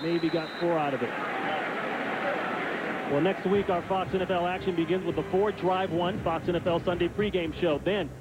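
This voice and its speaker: Male play-by-play caller, high voice, booth mic over a roaring stadium crowd. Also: fairly even intonation. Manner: brisk, calls plays as they happen. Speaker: play-by-play announcer. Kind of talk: live football play-by-play. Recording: broadcast booth over loud crowd noise.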